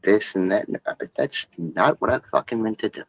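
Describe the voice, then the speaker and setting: A man's voice: fairly low pitch, fairly quick, creaky, husky, dry recording, rough recording. A young man talking earnestly on a late-night stream, rambling and swearing a lot.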